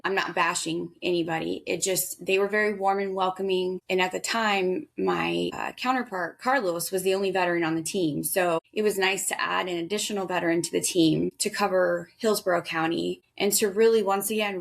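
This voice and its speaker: A chatty woman in her thirties tells her story as a guest on an interview show, with a big, energetic voice. Her voice sits a little low and has a gravelly edge, and she keeps slipping into quotes of what she said. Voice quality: loud, big voice. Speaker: guest, veterans outreach worker. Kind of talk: casual interview talk.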